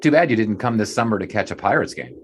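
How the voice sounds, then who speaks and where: An actor playing a 1920s sports writer who narrates in a dry, wry, formal manner. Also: male voice, lowish voice, big pitch swings, fast talker, a little hiss or hum. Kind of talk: audio drama narration and acting.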